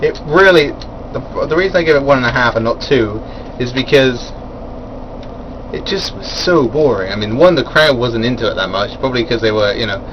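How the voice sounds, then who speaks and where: A man's voice: medium pitch, animated, fairly quick, dull audio, noisy background. A man giving a casual, rambling spoken review of a wrestling event, full of fillers and chuckling at his own asides.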